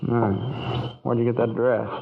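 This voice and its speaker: An awkward, testy young man in a 1940s radio drama. He hesitates and drawls in a medium-pitched voice. The recording is narrow and tinny with faint noise. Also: little pitch movement, slow pace, gravelly. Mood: awkward, defensive. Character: awkward, stubborn, restless small-town young man.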